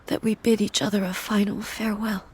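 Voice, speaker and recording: An actress playing a solemn, regal goddess mourning her sister in a video game, speaking in a soft, hushed, measured way. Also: medium pitch, level tone, slight background noise, lightly acted. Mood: sorrowful.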